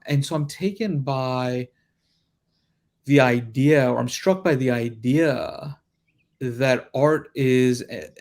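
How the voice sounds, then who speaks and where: A man moderating a panel discussion, thinking aloud in reflective, articulate and deliberate phrases. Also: medium pitch, a little mellow. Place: live panel discussion at a festival.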